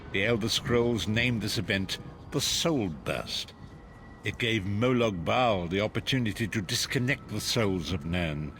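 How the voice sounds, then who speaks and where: A man playing a grave, wise sage in a fantasy game, narrating in a solemn, measured, portentous way. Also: sits low, noisy background. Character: grave, wise male sage, freed former prisoner.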